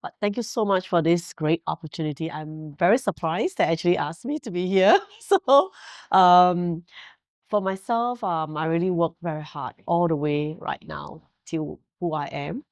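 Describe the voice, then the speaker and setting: Singaporean woman, forties, Mandarin native; low voice, dry close sound; storytelling interview guest, laughs often. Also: normal speed, no room sound. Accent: Singaporean English. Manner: warm storytelling with frequent laughs and fillers. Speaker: guest, hotel director. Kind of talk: casual interview.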